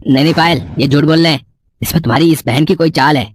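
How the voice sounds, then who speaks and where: A man playing an impatient, deceitful young criminal boyfriend in an animated moral story, urgent and insistent. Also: fairly high pitch, fast, no room sound, lightly acted. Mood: defensive.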